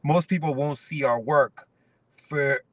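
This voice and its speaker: A man's voice: on the high side, measured pace, dry recording, far from the mic. A man in his forties from Ecuador, a guest comic writer chatting casually in an interview, rambling with many restarts and fillers. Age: 40s.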